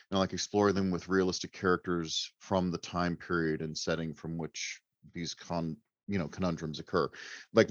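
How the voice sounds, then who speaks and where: A man with a low voice talks casually as an author on a panel. He is wordy, articulate and self-deprecating. The recording is dry and a little muffled. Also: no room sound.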